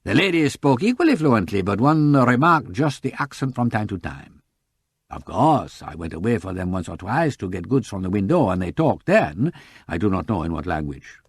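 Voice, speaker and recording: A man's voice: fairly low pitch, very animated, moderate pace, slightly rough, dry recording, studio quality, heavily acted. A man voicing a chatty young Frenchwoman working as a shop assistant, with a French accent and a raised, lilting voice. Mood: thoughtful. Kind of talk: audiobook character voice.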